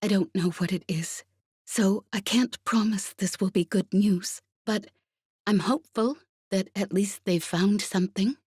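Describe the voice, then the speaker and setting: A woman voicing a brisk, pleasant female comrade with quiet concern in an audiobook. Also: medium pitch, husky, dry recording, studio quality, pitched above the performer's own voice. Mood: hesitant, hopeful. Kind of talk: audiobook character dialogue.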